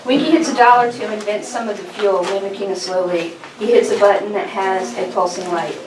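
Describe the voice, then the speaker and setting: A woman's voice: medium pitch, brisk, noisy background. A woman reading scripted stage directions as narrator at a table read. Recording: echoey room, distant mic, people around.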